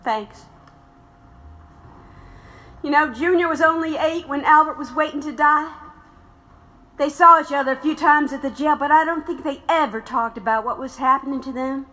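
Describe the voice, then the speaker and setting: High, bright-voiced widow looking back on loss, bitter and defiant. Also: female voice, moderate pace, pauses often. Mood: reflective. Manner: bitter, reflective storytelling. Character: grieving, defiant radical's wife, middle-aged. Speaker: narrator and widow of a condemned man. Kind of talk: audio drama narration and acting.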